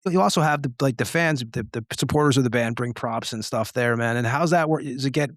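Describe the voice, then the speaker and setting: A man's voice: mid-range voice, fast talker, no room sound, clean studio sound. A man hosting a casual music interview, asking informal, rambling questions. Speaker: podcast host interviewing a band.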